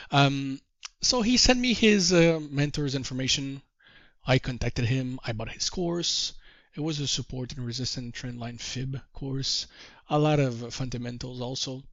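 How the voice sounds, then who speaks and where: A man's voice: medium pitch, no echo, dull audio. French Canadian man telling his story. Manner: storytelling with repeated refrains; self-deprecating, halting English. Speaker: trading course presenter. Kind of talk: presentation to a group call.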